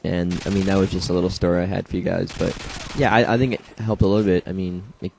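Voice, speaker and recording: A young man, slightly hoarse from a congested throat, rambling casually through his own match footage with many fillers and self-deprecating asides. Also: sits low, little pitch movement, quick pace, a little mellow, a little hiss or hum. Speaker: gameplay commentator. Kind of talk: casual gameplay commentary.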